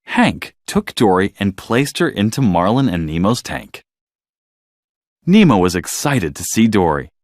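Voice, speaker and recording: Male storybook narrator for children: dramatic, highly animated delivery at a middle pitch, recorded dry. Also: a little slow, no room sound.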